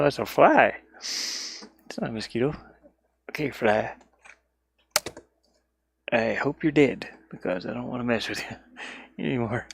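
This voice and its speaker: A man's voice: medium pitch, gravelly, husky. A man in casual solo livestream chat, rambling and muttering as he thinks aloud while working, recorded inside a van. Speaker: livestreamer and indie game developer.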